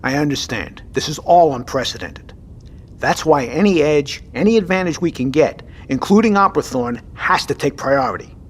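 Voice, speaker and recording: A man's voice: on the high side, lively intonation, moderate pace. A man playing a stern, duty-bound military captain in an audio drama. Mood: measured, determined.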